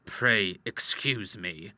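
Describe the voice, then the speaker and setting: A man's voice: mid-range voice, animated, quick pace, pushed and bright, slightly rough, no echo, heavily acted. A man voicing a stern, wounded and fiery Victorian doctor father in an audiobook, speaking formally and emphatically. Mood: cold, hurt. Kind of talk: dramatized audiobook dialogue.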